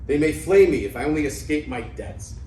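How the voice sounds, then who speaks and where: A man's voice: on the high side, lively intonation, a little fast, warm tone, close to an everyday voice. Man acting a bumbling, eager fellow, echoey and distant. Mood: defiant, boastful. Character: bumbling, earthy, eager debt-ridden man seeking schooling. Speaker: amateur actor in a staged play reading. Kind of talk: dramatic reading of ancient Greek comedy. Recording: echoey room, distant mic, background noise.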